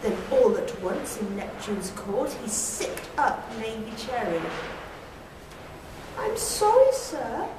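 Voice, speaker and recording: A woman in her thirties telling a rhymed children's story in a prim, deliberate 1940s television presenter manner. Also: medium pitch. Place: small bare brick-walled room indoors. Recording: echoey room, distant mic, noisy.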